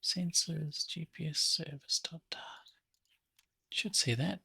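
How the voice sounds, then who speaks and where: A man with a gravelly, husky voice talking casually to himself as he hosts a think-aloud software tutorial. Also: fairly high pitch, slow, no room sound, whispered. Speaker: app developer hosting a coding session.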